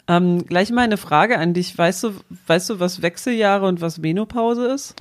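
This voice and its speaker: A woman's voice: fairly low pitch, normal speed. A woman, explaining things in a casual, colloquial way as a co-host. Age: 40s. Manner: informal, colloquial with some English slang.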